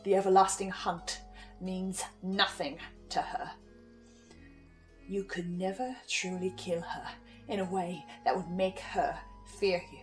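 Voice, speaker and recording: A woman playing a composed, eerie researcher in a horror audio drama, speaking in a deliberate theatrical way. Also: lowish voice, fairly even intonation, faint music behind. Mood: cold, menacing. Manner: slow, deliberate, ominous, suave.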